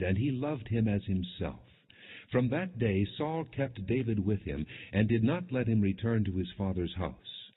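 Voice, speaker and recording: Low-voiced man reading scripture, muffled recording. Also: breathy edge. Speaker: audiobook narrator. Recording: muffled, phone-like narrow band.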